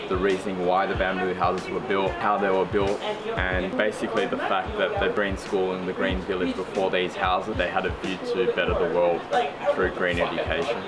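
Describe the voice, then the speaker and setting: A young man in his twenties with a fairly low voice explains things in a measured way. Loud music plays under his words. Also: little pitch movement, low-quality recording. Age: 20s. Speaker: entrepreneur. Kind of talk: interview testimonial.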